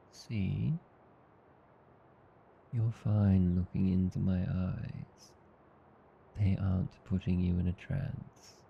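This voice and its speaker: A man with an Australian accent playing a tender, teasing vampire husband in an ASMR roleplay, soothing, coaxing and calmly hypnotic. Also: deep voice, creaky, lo-fi sound, a little hiss or hum, full character voice. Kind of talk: ASMR roleplay, slow intimate scripted acting.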